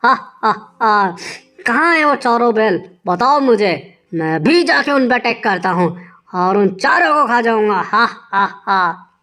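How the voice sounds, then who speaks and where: A woman's voice: on the high side, animated, unhurried, a bit bright. A woman voicing a proud, boastful male lion king in a children's animal story. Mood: boastful menace. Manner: boastful, with villainous laughs.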